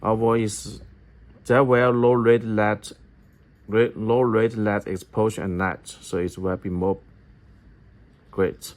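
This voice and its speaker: A man giving a step-by-step product tutorial in halting English, often repeating his phrases. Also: fairly low pitch, little pitch movement. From China. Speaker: product demonstrator for an electronics company.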